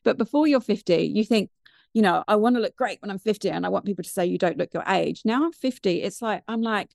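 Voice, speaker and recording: A British woman in her fifties from the UK, a guest telling her story in a chatty, animated, digressive way. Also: mid-range voice, fast talker, gravelly, no room sound, clean studio sound. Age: 50s. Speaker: guest, mindfulness business founder. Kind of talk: conversational interview storytelling.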